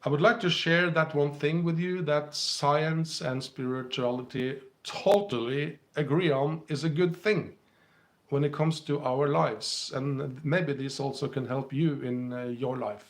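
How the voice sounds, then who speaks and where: Man, Norwegian accent, slightly high voice, gentle live-stream host, phone mic. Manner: gentle, unhurried, chuckles often. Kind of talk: casual live-stream chat, reading comments and passages aloud.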